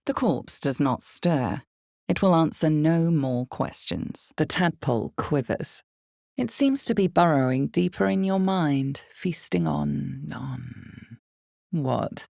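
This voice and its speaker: An ominous female storyteller narrates a dark fantasy game in an extremely low voice. Her delivery is slow and deliberate, lingering dramatically on phrases. The sound is dry and close, with no room around it. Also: vocal fry, no room sound, exaggerated voice.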